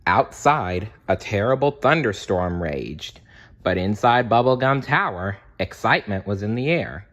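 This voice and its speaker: A man's voice: lowish voice, expressive, measured pace, fairly bright tone, dull audio. A man narrating a children's storybook aloud in a dramatic way, with little asides to young listeners. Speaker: storybook reader.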